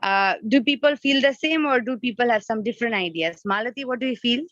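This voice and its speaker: Female spiritual teacher, Nepali native speaker, fairly high voice, questioning lecture style, lo-fi dry audio. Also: fairly quick, fairly bright tone, dry recording. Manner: questioning, explains by rephrasing and repeating. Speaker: spiritual teacher leading an online class. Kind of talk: interactive devotional lecture. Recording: dull lo-fi online call audio.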